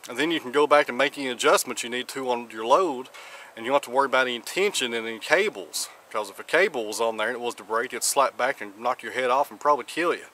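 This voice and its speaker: A man's voice: mid-range voice, fast, sharp tone, slight background noise. A man in his thirties explaining his equipment step by step in a plain, practical way, recorded outdoors on a camera mic. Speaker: channel host. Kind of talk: casual how-to explanation. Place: outdoors by a road with trees.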